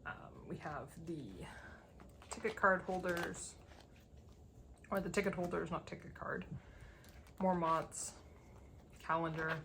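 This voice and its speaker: A woman with a slightly gravelly, dry voice giving a casual, unscripted tour of a collection, rambling as she thinks aloud. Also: on the low side, takes it slowly, pauses often, a bit bright, a little hiss or hum. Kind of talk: casual unscripted show-and-tell commentary.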